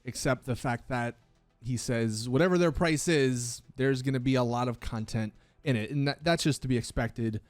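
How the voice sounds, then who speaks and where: A man's voice: medium pitch, normal speed, no room sound. A man presenting gaming news commentary as a video host, explaining things in an emphatic, casual way. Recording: background music under dry voice. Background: music.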